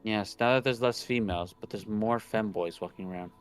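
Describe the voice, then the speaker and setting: A man's voice: lowish voice, brisk, clean tone. A young man casually reading visual novel narration aloud and chatting, stumbling over words now and then. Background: soft background game music.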